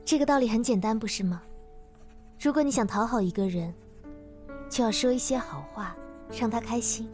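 A woman's voice: a little high, moderate pace, long pauses, a little mellow, faint music behind, natural voice. A woman playing a reserved, candid young office secretary in a modern audio drama, earnest and guarded in manner.

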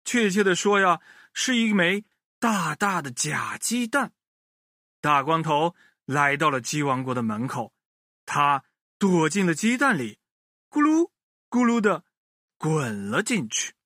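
A man telling a children's story in an expressive, animated way, speaking directly to young listeners. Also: a little high, measured pace, dry recording, studio quality.

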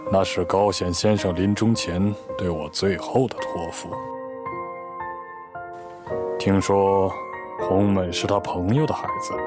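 Actor as composed deep-voiced middle-aged lawyer. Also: male voice. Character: composed, courteous male lawyer, paternal and measured. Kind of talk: radio drama acting. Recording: prominent background music.

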